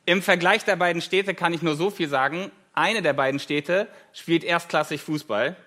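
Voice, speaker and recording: A man's voice: on the high side, moderate pace, bright, forceful tone. A German man in his thirties, a guest preacher giving a conversational sermon with light self-deprecating humor, in a church.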